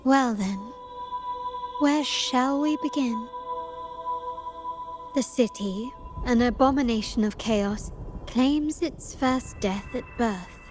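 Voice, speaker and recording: A woman voicing a poised, enigmatic woman in a game cutscene, speaking in a measured, elegant and teasing way. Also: a little high. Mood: calm. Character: poised, enigmatic adult woman, knowing and cryptic. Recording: music score behind.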